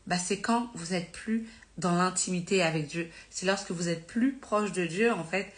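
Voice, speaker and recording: A woman with a somewhat low voice, a little congested from a cold, speaks to her live-stream viewers about faith. She talks in an earnest, encouraging, informal way and often corrects herself mid-sentence. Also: normal speed. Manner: conversational, with many fillers and self-corrections.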